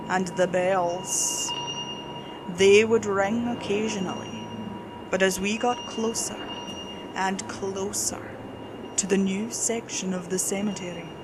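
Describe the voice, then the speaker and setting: Woman reading a creepypasta, slow and unhurried, clearly noisy home recording. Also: medium pitch. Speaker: horror story narrator. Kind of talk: scripted creepypasta narration.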